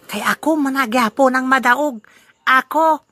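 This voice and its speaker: A woman's voice: sits high, unhurried, sharp tone, no room sound, full character voice. A woman playing a boastful, teasing old man in an amateur audio drama, laughing often. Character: boastful old man Lolo Albino, jolly rival.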